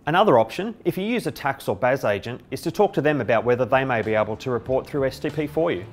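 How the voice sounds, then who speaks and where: A middle-aged Australian man speaks at a medium pitch as a company presenter. He delivers a scripted explainer to camera on a lapel mic in an office, with light music behind him. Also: animated, fast. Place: indoor office by a window.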